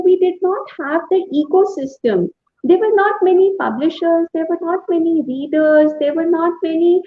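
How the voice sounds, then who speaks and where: High-voiced woman, echoey. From India. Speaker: author on a panel. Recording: echoey, dull room sound.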